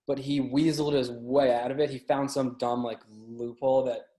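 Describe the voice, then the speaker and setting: A man chatting casually as a podcast guest, telling anecdotes in a halting way, often repeating and stumbling over words. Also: medium pitch, little pitch movement, warm tone. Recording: roomy, echoey sound.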